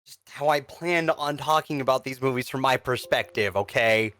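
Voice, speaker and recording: A podcast co-host chatting casually about films, halting and easily flustered. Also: mid-range voice, fairly bright tone, no room sound, clean studio sound. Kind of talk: casual chat reviewing films on a livestream podcast.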